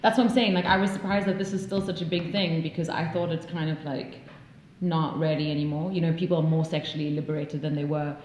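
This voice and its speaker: Low-voiced woman in a roomy space. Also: steady tone, fast, hardly any pauses, a little mellow, slight background noise. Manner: chatty, many fillers and restarts, laughs easily. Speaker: podcast host. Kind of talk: casual conversation. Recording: roomy echo.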